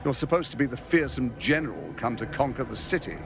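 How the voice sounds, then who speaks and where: A smug scheming noble villain. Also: male voice, medium pitch, big pitch swings, quick pace, vocal fry, music in the background. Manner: sarcastic, condescending, polished. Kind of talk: video game cutscene acting. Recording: game audio with loud score.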